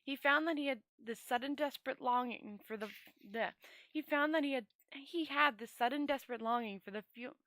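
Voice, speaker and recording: Bright, high-voiced woman reading aloud. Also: brisk, no room sound. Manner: expressive, stumbles over words and rereads phrases. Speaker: storyteller. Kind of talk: reading a fantasy novel aloud.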